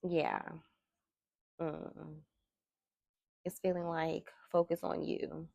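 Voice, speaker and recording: Soft-spoken woman with a gravelly voice. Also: low voice, flat delivery, no echo. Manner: soft-spoken, slow and musing. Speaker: tarot reader. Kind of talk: soft-spoken tarot reading. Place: indoors at a table.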